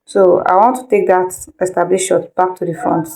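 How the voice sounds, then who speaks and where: A woman's voice: fairly low pitch, level tone, normal speed, creaky, warm tone, low-quality recording. A woman instructor giving an informal step-by-step tutorial, repeating instructions and checking in with listeners. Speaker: video editing instructor.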